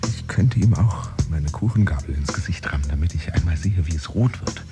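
An actor playing a brooding man who secretly pretends to have a job, in a measured, introspective inner monologue for a radio play. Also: sits low, lively intonation. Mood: tense resolve. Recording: music behind the voice. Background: music.

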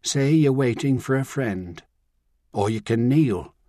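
A man reading an audiobook, voicing a calm, composed older woman and grandmother who talks in a measured, reassuring way. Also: mid-range voice, no echo, studio quality, pitched above the performer's own voice. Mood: calm, firm.

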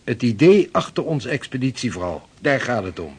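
An old-time explorer in a radio play, formal n solemn, very sure of himself, devout, medium pitch. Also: male voice, sing-song, moderate pace, slightly rough. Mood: earnest, determined. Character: driven, devout 16th-century male Dutch Arctic explorer. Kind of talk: historical radio play acting.

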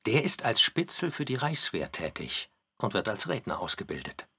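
A man's voice: lowish voice, big pitch swings, normal speed, sharp tone, slightly rough, full character voice. A man giving explanatory, musing commentary in a documentary radio play. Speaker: narrator and commentator.

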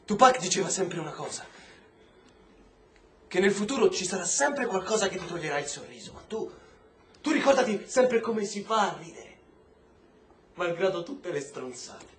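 A man's voice: high-pitched, very animated, moderate pace, long pauses. A man acting in an Italian radio drama as a young, defiant, wounded prisoner, moving between muttering and outbursts. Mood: wry, affectionate. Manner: emotional, swinging from muttering to outbursts. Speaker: actor. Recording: roomy, echoing, noisy background.

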